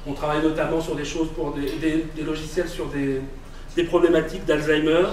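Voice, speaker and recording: A man whose first language is Arabic gives a conference talk in a very echoey hall. He stands back from the microphone and is slightly high-pitched. He hesitates often and restarts his phrases. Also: little pitch movement, fairly quick, darkish tone, slight background noise. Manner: hesitant, many fillers and restarts. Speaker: conference speaker. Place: large echoing hall. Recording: echoey hall, mic at a distance.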